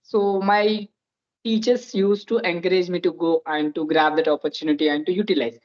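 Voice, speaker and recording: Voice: medium pitch, fairly even intonation, normal speed, darkish tone, lo-fi sound. A speaker in their twenties with a Telugu-influenced Indian accent, a native Telugu speaker, chatting earnestly as host and starting many phrases with "so". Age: 20s. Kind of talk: casual interview chat.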